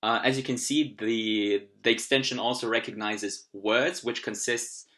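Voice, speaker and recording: A young man from Austria, a German native speaker with an Austrian German accent, gives an upbeat screen-recorded tutorial on language-learning software. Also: medium pitch, fairly even intonation, measured pace. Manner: upbeat, explanatory, occasional fillers.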